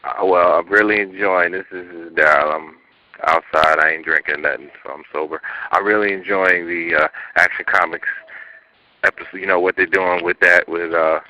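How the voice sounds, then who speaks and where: A man leaving a loose, halting, rambling voicemail for a podcast. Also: sits low, fairly even intonation, bright, forceful tone, no echo, poor audio.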